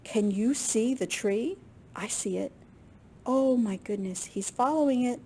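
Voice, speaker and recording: A woman with a slightly husky, gravelly voice reading a picture book aloud to young children in an expressive way. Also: on the high side, unhurried, a little hiss or hum. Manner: expressive, with gasps and questions to young listeners. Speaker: children's librarian storyteller.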